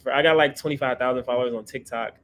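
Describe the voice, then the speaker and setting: A man's voice: medium pitch, steady tone, very fast, fairly bright tone, a little hiss or hum. A 24-year-old man, an interview guest who teaches about credit, talking casually and often repeating himself. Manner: repetitive, leans on "right?".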